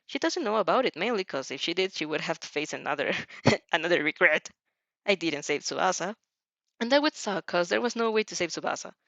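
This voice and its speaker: A woman reading fan fiction in an expressive storytelling way with casual, chatty asides. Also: on the low side, fast talker, vocal fry, no echo. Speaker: fan fiction reader and reactor. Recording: dull, muffled lo-fi recording.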